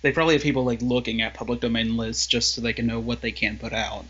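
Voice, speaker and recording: A man co-hosts a casual podcast, speaking in a measured way and steering the talk with questions. His voice is husky and a little breathy. The audio is somewhat dull and lo-fi. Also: medium pitch, steady tone, bright, forceful tone. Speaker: podcast host.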